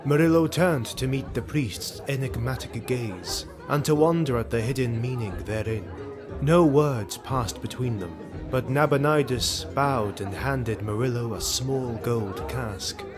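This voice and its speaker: A man narrating a scripted fantasy tale in a solemn, deliberate, literary manner. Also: mid-range voice, crowd noise. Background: background music.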